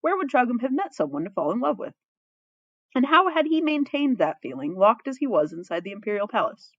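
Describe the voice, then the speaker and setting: A woman narrating a story in an expressive, playful, informal way, like an amateur reading fan fiction. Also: fairly high pitch, brisk, no echo, muffled recording. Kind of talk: storybook narration with casual asides.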